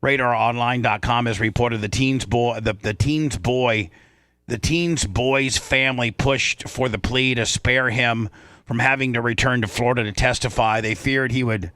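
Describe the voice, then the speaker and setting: A man's voice: mid-range voice, fairly even intonation, normal speed, pushed and bright, no room sound. An American man hosting a comic talk radio show, bantering and reading news aloud, often stumbling and repeating words.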